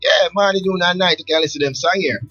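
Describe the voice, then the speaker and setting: A man hosting a radio interview, lively and quick to laugh, echoing back his guest's answers. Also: sits high, lo-fi sound, faint music behind. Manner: animated, laughs often, repeats guest's words. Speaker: radio show host.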